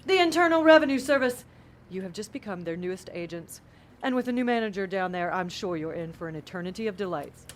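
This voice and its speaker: A woman's voice: mid-range voice, animated, fast, a little hiss or hum, lightly acted. A woman playing the devil as a smug, gleefully cruel female boss in an audio drama, mock-sweet and theatrical. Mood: gleeful menace.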